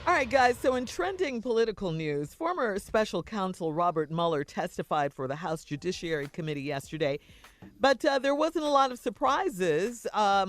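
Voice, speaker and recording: An American woman co-hosting a morning radio show, chatting casually and recapping the news. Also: medium pitch.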